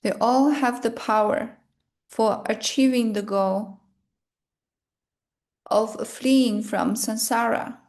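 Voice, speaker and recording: A woman leading a guided meditation and reciting prayers in a measured, solemn manner, in short phrases with long pauses. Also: on the high side, fairly even intonation, a little mellow.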